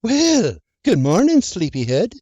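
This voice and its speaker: A narrator voicing a bossy young farm wife who fusses and scolds with a sharp tongue. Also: female voice, medium pitch, huge pitch swings, measured pace, husky, dry recording, voice pushed up, heavily acted. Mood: brisk.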